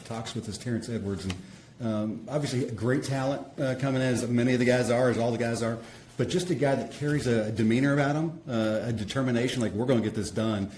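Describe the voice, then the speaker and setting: A man's voice: medium pitch, darkish tone. Sports reporter guy asking a rambly question, echoey room. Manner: rambling, loose. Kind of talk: interview question. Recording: echoey room, faint noise.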